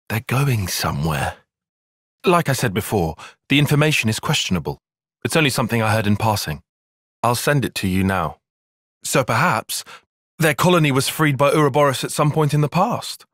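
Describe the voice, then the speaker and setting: An earnest young tactician in a video game cutscene. His voice is a little husky and recorded close and dry. He speaks in formal, bookish sentences, with pitch that moves a good deal. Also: no room sound. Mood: somber, reflective. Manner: precise, formal, bookish phrasing. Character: analytical, earnest young male soldier and tactician. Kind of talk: dramatic game cutscene acting.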